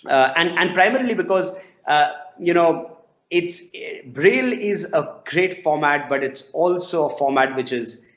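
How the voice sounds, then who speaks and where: Indian guy in his 40s explaining stuff in an interview, repeats words, muffled lo-fi audio. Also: medium pitch. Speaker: interviewee, storytelling non-profit founder. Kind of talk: conversational interview answers with anecdotes. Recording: dull lo-fi audio.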